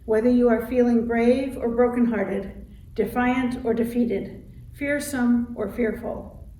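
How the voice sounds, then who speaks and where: A woman reading a scripted church welcome and liturgy in a measured, solemn way as worship leader. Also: on the high side, fairly even intonation, dark tone. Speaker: congregation president and guest worship leader. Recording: roomy, distant mic with faint noise.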